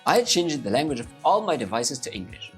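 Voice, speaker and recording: A man's voice: medium pitch, a little fast, soft background music. A man from Egypt with an Egyptian Arabic accent, a native Arabic speaker, giving upbeat English-learning tips straight to his viewers. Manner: upbeat and animated, addressing viewers directly. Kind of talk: talking to camera, motivational tips.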